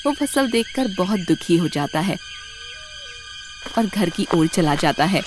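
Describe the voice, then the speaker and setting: A woman giving scripted narration as the storyteller of an animated tale. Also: on the low side, a little slow, over music.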